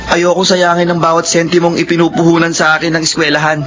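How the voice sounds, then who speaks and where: Actor as an earnest, higher-voiced young Filipino student. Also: male voice, completely flat delivery, fast, a bit bright, faint music behind, lightly acted. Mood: serious, wounded. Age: young adult. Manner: earnest, polite. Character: earnest, humble young male working student. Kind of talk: Filipino radio drama acting. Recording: noisy radio drama recording.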